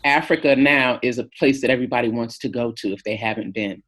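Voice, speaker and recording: A woman in her fifties, answering an interview question in a thoughtful, unhurried way with many fillers. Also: rumbling low, fairly bright tone. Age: 50s. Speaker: interview guest, family member.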